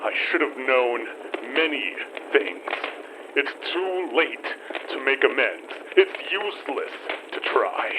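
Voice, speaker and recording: A man playing a guilt-ridden grieving father in an audio horror drama, halting and sombre. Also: mid-range voice, sing-song, background noise. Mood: despairing.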